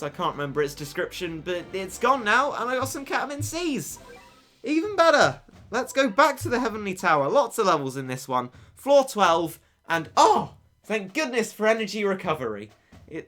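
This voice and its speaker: A young man commentating a mobile game, excitable and cheeky, stammering on his repeats, his voice getting worn from shouting. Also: high-pitched. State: losing his voice. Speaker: gaming YouTuber.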